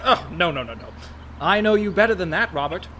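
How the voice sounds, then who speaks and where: A man acting as a fretful younger brother and reluctant swindler in a radio comedy. The voice is high and very lively, full of sarcasm and worry. There is a faint noise behind it. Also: a little fast. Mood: worried, exasperated. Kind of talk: comic radio play acting.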